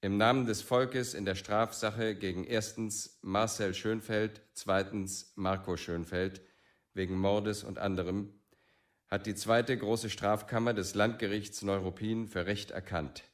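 An actor playing an impersonal court official, reading out formally and soberly in a documentary radio play. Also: male voice, fairly low pitch, fairly even intonation, unhurried, lightly acted.

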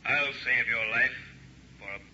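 Male actor as sinister otherworldly dealmaker, very sharp voice, deliberate, muffled 1960s radio drama. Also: medium pitch. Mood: commanding, sinister. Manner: measured, deliberate. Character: sinister male supernatural bargainer, commanding, unhurried. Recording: muffled old radio recording, faint noise.

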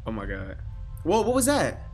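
A man's voice: fairly high pitch, wildly swooping pitch, normal speed, a little mellow, faint music behind. A man in his twenties giving casual, slangy, exclamatory reaction commentary as a video host. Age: 20s. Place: small bedroom, indoors.

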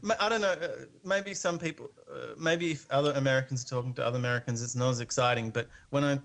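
A man's voice: mid-range voice, steady tone, fast, creaky, a bit bright. A man with an Australian accent, a guest on a live internet show, talking in a casual, rambling, good-natured and hesitant way. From Melbourne, Australia. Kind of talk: casual unscripted chat.

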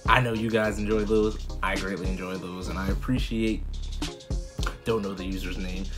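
An American man around his thirties giving casual, slangy reaction commentary as a video host, expressive and full of exclamations. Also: fairly low pitch. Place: small bedroom indoors.

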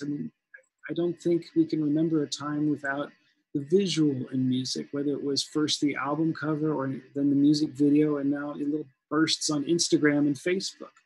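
A man's voice: fairly high pitch, breathy edge, no echo, rough recording. A man in his forties speaking as a guest music professor on a panel video call, thoughtful and conversational, with a headset mic in a room at home. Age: 40s. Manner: thoughtful, conversational, many fillers.

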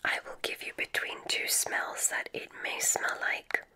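A woman whispering an ASMR roleplay as a gentle witch examiner, guiding deliberately with soft, encouraging praise.

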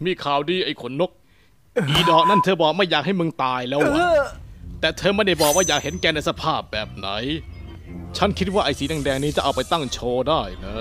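A man's voice: fairly high pitch, animated, brisk. A man voicing a cruel, gloating reptilian demon assassin in a fan-dubbed cartoon, taunting and menacing. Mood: taunting menace. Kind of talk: Thai fan-dub cartoon acting. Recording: loud music under the voice.

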